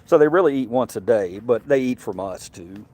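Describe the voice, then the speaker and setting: A man's voice: medium pitch, animated, normal speed, darkish tone, a little hiss or hum. A middle-aged man from Mississippi with a Southern accent, chatting casually on a livestream, rambling and repeating words. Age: Gen X, middle-aged. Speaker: livestream host.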